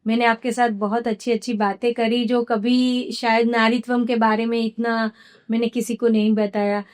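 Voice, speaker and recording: A woman, a podcast guest with a slightly hoarse throat, speaking in an earnest, measured way with small fillers. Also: on the high side, little pitch movement. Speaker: guest, founder of a women's platform. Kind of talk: podcast interview conversation. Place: podcast studio.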